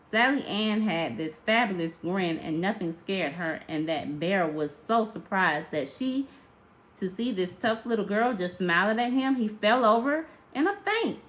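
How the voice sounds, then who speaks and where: Female storyteller for a children's read-aloud, medium pitch, expressive and emphatic teacher-style narration. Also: measured pace, poor audio.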